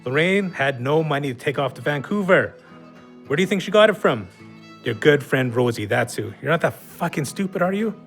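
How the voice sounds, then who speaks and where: Gritty audio drama: scornful, sarcastic male troublemaker, slangy and foul-mouthed, highish creaky voice, faint music. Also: animated, a little fast. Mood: scornful, needling. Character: bossy, goading, foul-mouthed man, scornful drinking buddy. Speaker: audio play actor.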